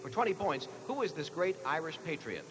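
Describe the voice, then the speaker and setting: A man's voice: a little high, very animated, fairly quick, over music. An American man hosting a live quiz show, reading questions to the contestants. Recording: echoey hall, clear background noise.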